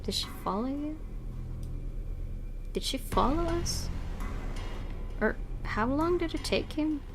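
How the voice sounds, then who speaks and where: A woman's voice: sits high, animated, slow pace, background noise. A woman hosting a gaming video, reading a story dramatically aloud with casual commentary. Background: music behind the voice.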